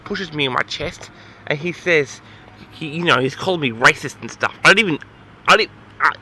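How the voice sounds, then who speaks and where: A man's voice: on the high side, moderate pace, pushed and bright, a little hiss or hum. A man telling a story casually as host of an audio log, rambling in an awkward, flustered, exasperated way.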